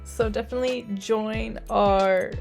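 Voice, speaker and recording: A woman reading a promotional announcement for a podcast in a brisk, friendly way. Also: on the high side, steady tone, vocal fry, darkish tone, soft background music.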